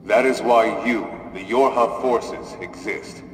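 Solemn game war commander, low voice, big hall reverb, measured speech. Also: male voice. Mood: commanding, solemn. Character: solemn authoritative military commander narrating humanity's war to android troops. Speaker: narrator and commander. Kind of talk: scripted video game narration. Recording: echoing hall with long reverb, hiss.

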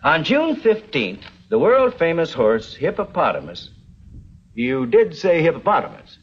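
An older American man plays a folksy, dry frontier newspaperman in a TV western. His voice is a little high and moves up and down freely, on a dull old soundtrack. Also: huge pitch swings, vocal fry, slight background noise, exaggerated voice. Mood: dry, deliberate. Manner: folksy, reads copy aloud deliberately. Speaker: actor in a TV western.

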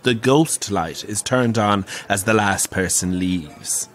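An actor playing a camp, wry, middle-aged Irish pantomime dame who relishes telling grisly ghost stories in an audio drama. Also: male voice, on the low side, takes it slowly. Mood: wry, breezy. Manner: theatrical, wry, relishing the tale.

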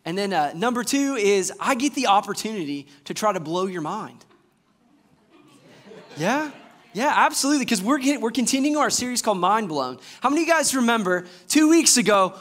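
A man in his thirties from Georgia, an assistant pastor preaching a lively sermon on a church stage and asking the congregation questions. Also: sits high, a little fast. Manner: enthusiastic, gestures widely, asks the congregation questions. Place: church stage before a screen.

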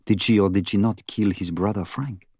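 A middle-aged male Belgian detective for an audiobook. He has a French-speaking Belgian accent and speaks with fussy, formal precision. He is earnest and gravely insistent, in a dry recording. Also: fairly low pitch, fairly even intonation, moderate pace, darkish tone, dry recording. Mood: grave, emphatic. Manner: precise, formal, emphatic phrasing. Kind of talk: audiobook character acting.

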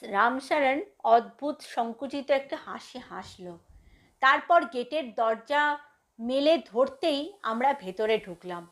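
A woman storyteller giving an expressive scripted narration of a tale. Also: high-pitched, slow pace.

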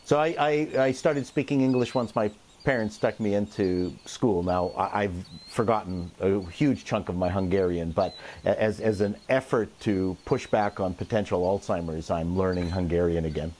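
A middle-aged man with a somewhat low voice trades dry, teasing jokes in a relaxed chat. He is seated outside on a lapel mic, with faint birds and crickets behind him. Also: moderate pace, hardly any pauses, a little hiss or hum. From Canada. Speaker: guest. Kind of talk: casual chat.

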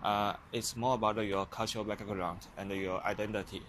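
A man's voice: lowish voice, flat delivery, normal speed, fairly bright tone. A young man with a Chinese accent talking in a casual vlog, hesitant and full of fillers and restarts, recorded on a handheld phone while walking outdoors on a campus. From China. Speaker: vlogger. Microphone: handheld phone camera while walking. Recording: outdoor noise behind the voice.

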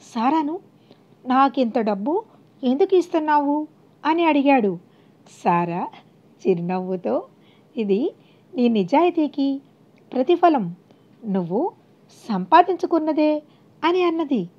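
A woman's voice: high voice, animated, takes it slowly, long pauses. A woman narrating a scripted moral story as an audiobook storyteller, on a lo-fi recording. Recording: dull, lo-fi voice recording.

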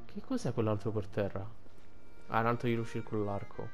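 A man's voice: lowish voice, steady tone, fairly quick. Casual male gamer over game music. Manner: informal, thinks aloud with occasional swearing. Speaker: gaming streamer. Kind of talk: casual video game playthrough commentary.

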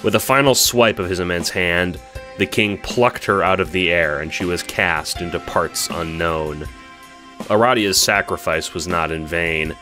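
Casting: male narrator, low and bright-edged, solemn delivery of an epic script. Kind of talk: dramatic scripted story narration. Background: instrumental music with guitar.

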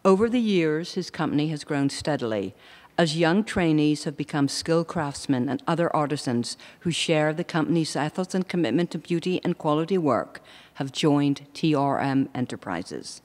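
A host reads a prepared introduction at a steady middle pitch. The voice carries the long reverb of a lecture hall. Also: normal speed. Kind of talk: formal introduction.

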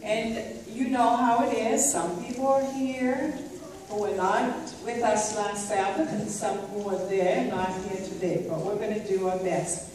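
A woman's voice: mid-range voice, steady tone, unhurried, a little mellow. A middle-aged woman leading a children's church group, informally addressing a congregation through a handheld microphone in a large sanctuary. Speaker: children's Sabbath school leader. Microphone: handheld mic, recorded by a distant camera. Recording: echoey church hall from far off, background noise.

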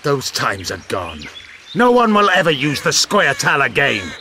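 An actor playing a proud, bitter elf rebel commander in a video game, speaking in measured, eloquent, scornful phrases. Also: male voice, a little high, wildly swooping pitch, pushed and bright, slight background noise, heavily acted. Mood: defiant. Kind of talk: dramatic video game acting. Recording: clear forest ambience behind voice.

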